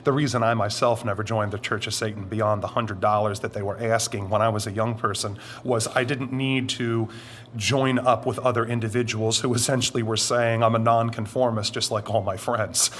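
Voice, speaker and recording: A middle-aged man with a deep voice, answering interview questions in a deliberate, explanatory way with drawn-out emphatic phrases. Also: steady tone, a bit bright, a little hiss or hum. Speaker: interview guest.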